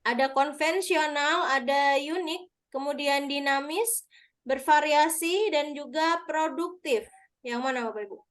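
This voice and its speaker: A woman's voice: high voice, measured pace, a bit bright. A woman from Madura teaching an online review session, explaining patiently and checking answers with the participants. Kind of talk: online exam review lesson.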